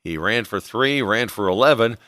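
A man's voice: fairly low pitch, expressive, normal speed, fairly bright tone, no echo, studio quality. An American man hosting a sports podcast, narrating a scripted football recap in a broadcast style. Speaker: sports podcast host and radio play-by-play announcer.

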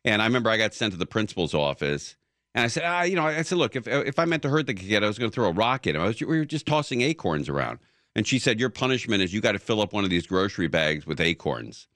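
A man's voice: lowish voice, sing-song, fast talker, fairly bright tone, no room sound, clean studio sound. A man hosting a casual talk show who tells rambling stories full of fillers and restarts. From Southern California. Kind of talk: casual conversation.

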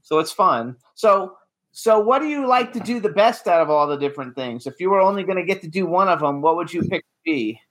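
A man's voice: high-pitched, expressive, a little fast, fairly bright tone, rough recording. A man hosting a talk show, chatting casually as a film producer and asking his guest questions.

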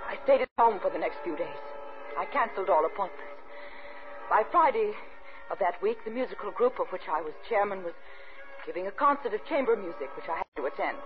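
A woman's voice: mid-range voice, expressive, brisk, faint music behind, lightly acted. A woman playing an anxious, genteel widow in a 1950s radio suspense drama, polite, guarded and dramatic in manner. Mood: haunted narration. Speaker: lead actress and first-person narrator. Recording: noisy, muffled old radio recording.

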